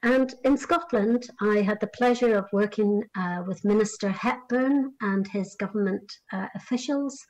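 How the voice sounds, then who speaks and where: A middle-aged woman from Northern Ireland giving a conference address on career guidance, measured and full of small fillers and restarts. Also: mid-range voice, darkish tone, poor audio.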